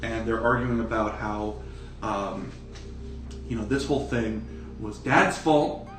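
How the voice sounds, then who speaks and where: A man's voice: fairly low pitch, slow, fairly bright tone. Male game master, casual and sweary improvised storytelling, roomy distant sound over music. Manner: casual, profane. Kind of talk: improvised tabletop game narration.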